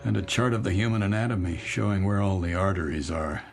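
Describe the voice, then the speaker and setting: A man's voice: low voice, fast talker, gravelly, over music. An actor playing a weary, troubled businessman commuter in a radio drama, with a dry and hesitant manner. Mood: weary, sardonic.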